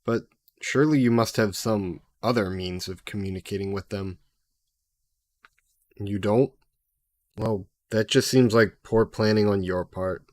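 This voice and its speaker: A man's voice: lowish voice, measured pace, pauses often, no room sound, natural voice. A man playing a sassy, possessive, centuries-old demon in an audio roleplay, speaking haughtily and sardonically in formal wording. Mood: sardonic, coaxing. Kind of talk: scripted audio roleplay addressed to the listener.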